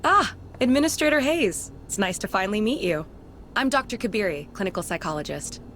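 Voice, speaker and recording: Actress as a polite psychologist, faint noise. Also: female voice, mid-range voice, lively intonation, fairly quick, fairly bright tone, lightly acted. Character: courteous female clinical psychologist. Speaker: game character actor. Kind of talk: dramatic video game acting.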